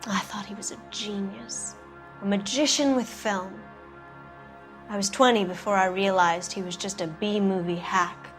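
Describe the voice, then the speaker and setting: An actress playing a jaded, evasive Hollywood producer's wife, cool, knowing and challenging, in a 1940s noir game. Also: female voice, mid-range voice, fairly even intonation, measured pace, soft background music, close to an everyday voice. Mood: bitter.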